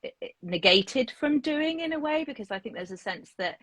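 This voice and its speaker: A woman's voice: high-pitched, animated, moderate pace, fairly bright tone, dry recording. Author, forties, woman. Thoughtful, restarts a lot, dry close sound. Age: 40s. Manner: thoughtful, many restarts and fillers. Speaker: picture book author. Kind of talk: casual interview chat.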